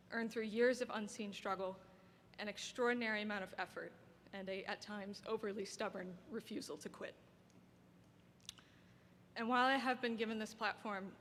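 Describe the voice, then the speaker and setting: A soft-spoken young woman giving an earnest, heartfelt graduation speech as an award recipient, at a podium microphone. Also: medium pitch. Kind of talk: formal prepared speech. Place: ceremony hall. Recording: long hall reverb, faint background noise.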